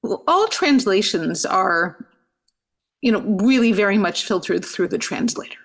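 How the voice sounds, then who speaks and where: A middle-aged woman rabbi, a podcast guest who answers thoughtfully, often pausing with "you know" and restarting her sentences. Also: medium pitch, expressive, creaky. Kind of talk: reflective interview answers.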